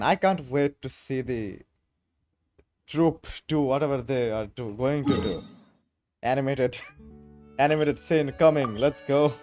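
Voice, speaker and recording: A man giving casual gameplay commentary as he plays. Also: medium pitch. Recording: game music behind the voice.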